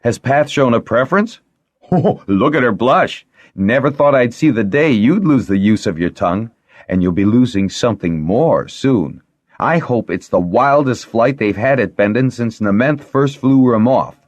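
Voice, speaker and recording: Guy doing a cocky grumpy young dragon rider, audiobook fantasy, lively and raised pitch. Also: unhurried, breathy edge, big-room sound. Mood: crowing. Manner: defiant, grumpy. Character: proud, stubborn young male dragonrider, grumbling and taunting.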